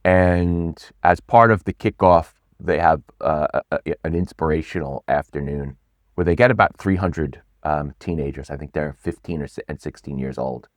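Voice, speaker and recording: Middle-aged male coach, very deep voice, animated and stumbling, close dry mic. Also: normal speed, no echo. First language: not Swedish; English speaker. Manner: animated, repeats words, lively stresses. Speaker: pitch coach guest. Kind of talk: casual interview talk.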